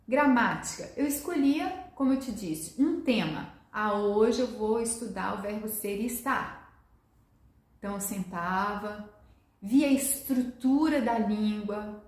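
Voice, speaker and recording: A middle-aged woman teaching Portuguese in a relaxed online lesson, explaining step by step and often checking in with a quick "né?" or asking questions and answering them herself. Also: fairly high pitch, echoey room.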